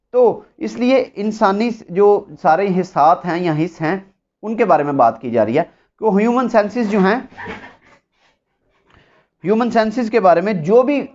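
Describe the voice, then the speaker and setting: A man with a Pakistani accent giving an explanatory law lecture, deliberate, often repeating and rephrasing his points. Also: high voice. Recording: dull lo-fi sound.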